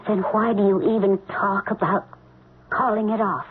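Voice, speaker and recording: An actress playing an anxious, ambitious politician's wife who presses with questions, in old-time radio drama style. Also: female voice, medium pitch, fairly even intonation, normal speed, phone-quality sound, natural voice. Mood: urging. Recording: noisy, thin band-limited old radio recording.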